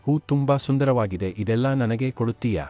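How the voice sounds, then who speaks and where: A man playing a courteous, generous, wealthy village gentleman in an animated moral story, polite and measured. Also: mid-range voice, faint music behind, lightly acted. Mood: businesslike. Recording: muffled lo-fi sound.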